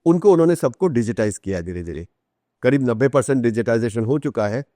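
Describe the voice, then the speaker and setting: Male podcast guest, Indian accent, mid-pitched, articulate explainer who repeats for emphasis. Dry recording. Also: expressive, a little fast, warm tone, no echo. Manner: articulate, emphatic repetition, Hindi mixed with English terms. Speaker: author guest. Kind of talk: podcast interview, explanatory talk.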